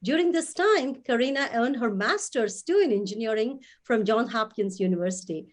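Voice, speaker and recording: A woman's voice: on the high side, lively intonation, normal speed. A woman host giving a prepared welcome and introducing her guest over a video call, in a formal, scripted manner. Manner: formal, reads from prepared notes.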